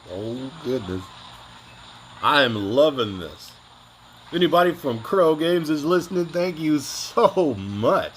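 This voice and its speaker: A man's voice: medium pitch, fairly bright tone, a little hiss or hum, rough recording. A man reacting casually and off the cuff as he hosts a reaction video.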